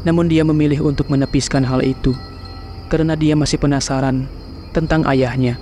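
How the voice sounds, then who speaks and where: A man giving scripted narration for a horror cartoon in a steady, measured way. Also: on the high side, faint music behind.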